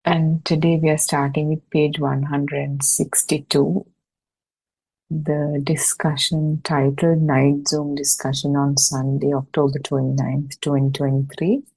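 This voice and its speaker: A woman reading a religious discussion text aloud for an online study session, in a measured, deliberate way with pauses between short phrases. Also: sits low, level tone, gravelly, mellow, breathy edge, rough recording. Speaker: reader and host of an online Buddhist study session. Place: online video meeting.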